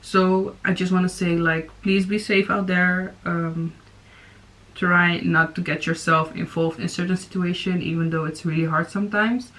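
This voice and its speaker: A woman's voice: lowish voice, steady tone, normal speed, a bit bright, rough recording. A young woman hosting a reaction video, talking casually with many fillers and restarts. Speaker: YouTube reaction host.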